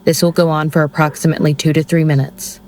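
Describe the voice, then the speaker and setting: An actor playing a curt, by-the-book executioner in an audio drama, formal and deadpan. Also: medium pitch, quick pace, slight background noise, natural voice. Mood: procedural.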